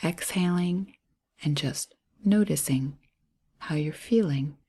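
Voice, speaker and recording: A low-voiced woman leads a guided meditation at a very slow pace. Her voice is soft and breathy, with airy edges to the words. She speaks gently and soothingly, leaving space between short phrases.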